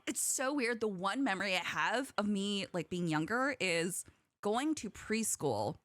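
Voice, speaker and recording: A woman's voice: medium pitch, lively intonation, a bit bright, no room sound. A woman who grew up speaking Korean, hosting a podcast in a chatty, giggly way. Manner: giggly, chatty, quick to laugh. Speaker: podcast host. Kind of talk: casual podcast chat.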